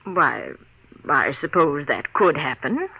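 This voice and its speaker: Lilting, deferential secretary. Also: female voice, deep voice, very animated, takes it slowly, vocal fry, breathy edge, full character voice. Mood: tentative, polite. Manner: polite, tentative, eager to please. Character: deferential, polite, hesitant female secretary. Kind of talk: 1940s radio acting. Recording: muffled, scratchy-voiced vintage radio recording.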